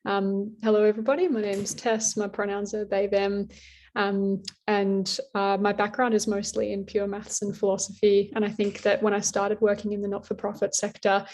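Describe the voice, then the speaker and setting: A panelist introduces themself and a colleague, friendly but hesitant, with frequent ums. The delivery is extremely flat and monotone, at a middling pitch. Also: female voice, normal speed, slight background noise.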